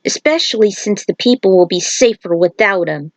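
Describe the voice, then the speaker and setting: A woman's voice: medium pitch, expressive, moderate pace, dry recording, natural voice. A woman voicing a defiant male cop hero in a fan fiction story reading. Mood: grateful.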